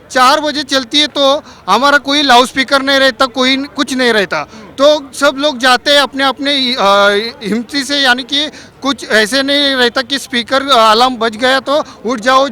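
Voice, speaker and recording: A young man from Maharashtra with a Marathi accent, answering in a casual street interview, halting as he searches for words. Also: very high-pitched, bright, forceful tone. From Maharashtra, India. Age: young adult. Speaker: party supporter interviewed.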